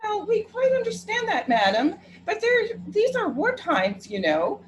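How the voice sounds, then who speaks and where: A woman's voice: very high voice, huge pitch swings, unhurried, slight background noise, full character voice, rough recording. A woman playing a courteous, businesslike telephone clerk with a formal, officious manner in a radio play. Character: polite, businesslike female telephone clerk, brisk and unruffled.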